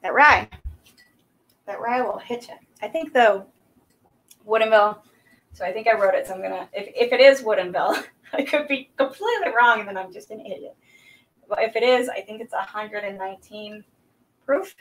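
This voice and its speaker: A woman's voice: medium pitch, normal speed, long pauses, fairly bright tone, poor audio. Chatty woman hosting a whiskey livestream. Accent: Southern American. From South Carolina. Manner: chatty and rambling, with many fillers. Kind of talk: casual live-stream chat. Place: small home room indoors. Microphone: desk microphone on a boom arm.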